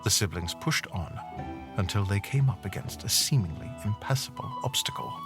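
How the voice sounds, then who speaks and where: A man narrating a scripted fantasy tale in a measured, dramatic storybook style. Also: lowish voice, expressive. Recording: music under the voice.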